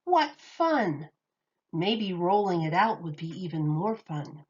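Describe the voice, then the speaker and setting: Teacher reading a picture book to kids: a woman, expressive and unhurried, slightly dull recording. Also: on the low side, husky. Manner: expressive, lively read-aloud.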